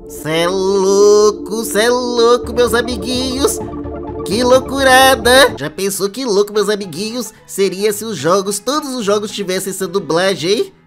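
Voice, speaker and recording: A man's voice: sits high, measured pace, over music. A man with a Brazilian accent voicing a chatty, playful cartoon sponge who hosts reaction videos with exaggerated commentary. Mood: chatty, amazed. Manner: playful, exaggerated, addresses viewers as little friends. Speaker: host of a reaction channel. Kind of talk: casual reaction commentary for kids.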